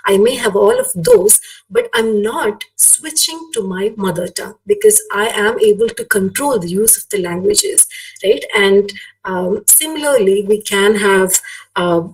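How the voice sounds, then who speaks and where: A woman's voice: medium pitch, big pitch swings, measured pace. Malayali-accented woman lecturing, slightly distant mic. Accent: Malayali Indian English. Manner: conversational, frequently seeks agreement with tag questions. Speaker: academic guest lecturer. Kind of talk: explanatory webinar lecture. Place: online webinar. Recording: distant microphone, online webinar audio.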